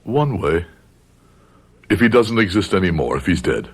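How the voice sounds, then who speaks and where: A man's voice: sits low, big pitch swings, normal speed, husky, heavily acted. An actor playing a bitter, grieving middle-aged father with a grave, dramatic manner in a radio drama. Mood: brooding, resolved. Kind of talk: radio drama acting with first-person narration.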